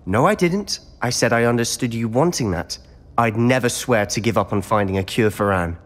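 A man's voice: mid-range voice, lively intonation, moderate pace, close to an everyday voice. A young man with a British accent playing a defiant, secretive teenage wizard in a video game, earnest and insistent. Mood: defensive. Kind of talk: video game cutscene acting.

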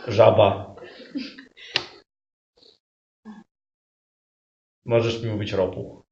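A man's voice: on the low side, little pitch movement, measured pace, muffled recording, pitched below the performer's own voice. A man playing a nonchalant, slangy toad who has just turned human in an improvised comedy play. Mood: deadpan. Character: laid-back slangy male toad newly turned human. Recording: distant echoey stage recording with loud noise.

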